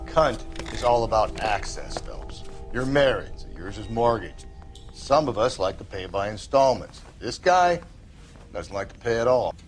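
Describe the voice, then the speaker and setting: An actor playing a cynical, crude middle-aged American homicide detective in a 1940s crime game, blunt and sardonic. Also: male voice, fairly low pitch, animated, measured pace, faint music behind. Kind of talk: video game crime drama acting.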